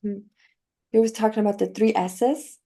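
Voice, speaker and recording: A woman's voice: mid-range voice, fairly even intonation, moderate pace, gravelly, mellow. A young woman in her twenties whose first language is German, coaching on language learning and eagerly walking through her method step by step in an interview. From Northern Italy. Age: 20s. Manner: enthusiastic, explanatory, walks through steps with examples. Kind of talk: interview conversation.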